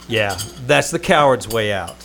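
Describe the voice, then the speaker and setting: A male stage actor plays a wry publicity man in an old-time radio comedy. His delivery is dry, teasing and theatrical, with a very lively rise and fall. It is recorded live in a theater with slight background noise. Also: fairly low pitch, measured pace, gravelly. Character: wry male Hollywood publicity man, sardonic but fond. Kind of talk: old-time radio comedy acting with first-person narration. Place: small-town theater stage, live performance.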